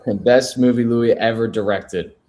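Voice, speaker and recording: A man reading the part of a weary, wry newspaper proofreader at a film script table read, in a low-key conversational way. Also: fairly even intonation, normal speed, mellow, lightly acted. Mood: tired, dry. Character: Cassidy, weary, lonely male newspaper proofreader, wryly curious.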